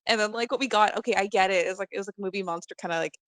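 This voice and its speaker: A woman's voice: medium pitch, rapid-fire, fairly bright tone, dry recording. A woman guest on a podcast chatting casually in an informal, giggly way. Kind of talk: casual chat.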